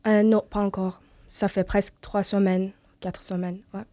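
A woman from Iran whose first language is Persian answers an interviewer in short, simple sentences. She is soft-spoken with a mellow voice, and the studio sound is dry. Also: mid-range voice, level tone, normal speed, no echo. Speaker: guest.

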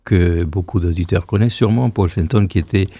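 Gravelly low-voiced man in his sixties. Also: mellow, no room sound. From Argentina. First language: Hebrew. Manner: unhurried storytelling, repeats and restarts phrases. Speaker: choir director, guest. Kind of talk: radio interview.

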